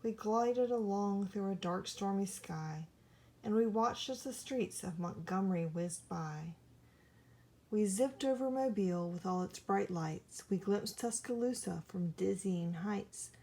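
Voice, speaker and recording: A woman reading a rhyming children's story aloud in an expressive, theatrical storytelling manner. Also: medium pitch, unhurried. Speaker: storybook reader.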